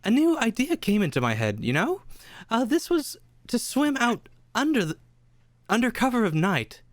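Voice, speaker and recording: A radio play narrator with a mid-pitched, animated voice, recorded close and dry. The reading halts here and there and often ends sentences on a questioning "eh". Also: no room sound. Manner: halting, adds "eh" to sentences.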